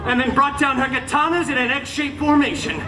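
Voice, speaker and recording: A man's voice: very high voice, flat delivery, brisk, very noisy. A man playing a wisecracking comic superhero, telling a parody bedtime story to a live audience in a sarcastic, theatrical, chatty way. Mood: excited, wry. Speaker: theme park character performer, storyteller. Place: outdoor theme park show with audience. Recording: roomy distant PA, loud music and crowd.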